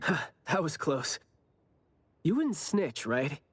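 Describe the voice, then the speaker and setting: A man's voice: on the high side, sing-song, slow, slight background noise. An actor voicing a laid-back, glib male captain in an anime game, teasing and breezily justifying himself. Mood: smooth, teasing.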